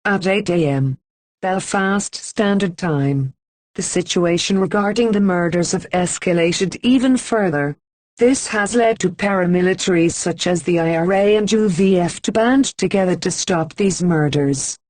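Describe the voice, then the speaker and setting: A woman reading a scripted emergency broadcast announcement in an even, formal manner with slow, deliberate pauses. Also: fairly low pitch, no room sound.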